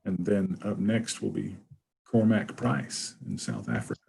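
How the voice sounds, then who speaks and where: A man's voice: low voice, big pitch swings, a little slow, vocal fry, a little mellow, slightly rough. A man moderating an online conference session, introducing speakers and relaying questions.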